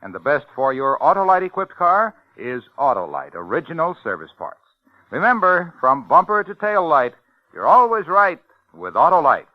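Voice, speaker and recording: A male announcer from old-time radio sells with polish and emphasis. His highish voice moves up and down a lot, close and dry on a dull recording. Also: big pitch swings, normal speed, dry recording. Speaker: commercial announcer. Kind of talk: 1950s radio sponsor pitch.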